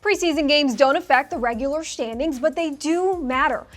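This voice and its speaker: A woman co-hosting a sports show, delivering a crisp, punchy scripted read. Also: sits high, normal speed. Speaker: co-host.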